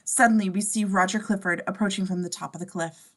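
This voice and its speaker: Woman narrating screenplay action, mid pitch. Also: fairly even intonation, quick pace. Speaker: scene description reader. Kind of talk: scripted narration at a table read.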